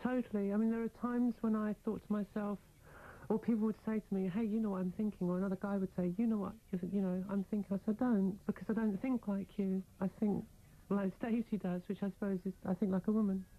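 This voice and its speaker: A woman's voice: mid-range voice, steady tone, quick pace. A woman in her thirties speaking candidly as an interview guest, explaining herself in a reflective, conversational way. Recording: narrow-band, phone-like old radio aircheck.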